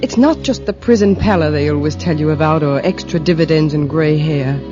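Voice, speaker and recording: A woman's voice: low-pitched, a little fast, close to an everyday voice. An actress playing a cool, guarded married woman with a criminal past in an American old-time radio drama, speaking in a clipped, sardonic, world-weary way. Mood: reflective. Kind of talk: 1940s radio drama acting. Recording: dull old-time radio recording with music.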